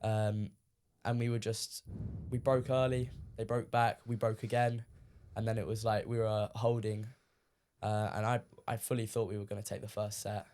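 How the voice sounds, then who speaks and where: A teenage man from the UK, a guest on a podcast, talking casually with lots of ums, his voice a little croaky from shouting. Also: lowish voice, moderate pace. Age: teens. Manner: relaxed, many ums, recounting matches. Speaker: guest player.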